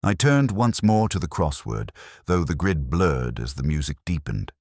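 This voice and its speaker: A man reads a scripted first-person detective story in a measured, solemn, reflective manner, as a literary narrator. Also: extremely low pitch, sing-song, a little mellow, husky, no room sound, clean studio sound. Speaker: audiobook-style narrator.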